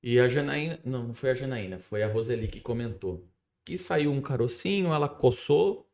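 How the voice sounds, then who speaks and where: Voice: medium pitch, expressive, a little fast, a little mellow. Chatty talk-show host. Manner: chatty, asks questions, loses track of words. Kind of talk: casual interview chat.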